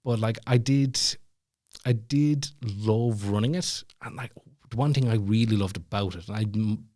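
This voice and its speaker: Low-voiced Irish man telling stories. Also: moderate pace, warm tone, no room sound, clean studio sound. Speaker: podcast guest, former comedy club MC. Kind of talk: casual storytelling chat.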